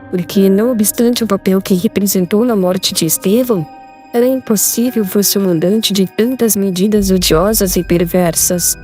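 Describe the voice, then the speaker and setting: Woman playing a sincere, simple believer, earnest and plain-spoken, soft music beneath. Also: medium pitch, close to an everyday voice. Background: faint background music.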